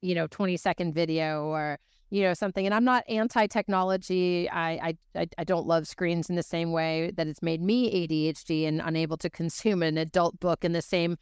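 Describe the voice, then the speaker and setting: Smooth, dry-sounding American woman host. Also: on the low side, fairly even intonation, fairly quick, dry recording. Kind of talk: hosting an interview show.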